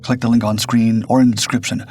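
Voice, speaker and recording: A man narrating a scripted first-person suspense story in a measured, grave storytelling manner. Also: mid-range voice, flat delivery, darkish tone. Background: background music.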